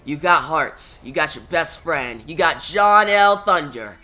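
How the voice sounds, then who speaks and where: A man's voice: a little high, expressive, measured pace, pushed and bright. A man voicing a hero who banters with and reassures his old friend. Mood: bantering. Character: fretful male hero reunited with a friend.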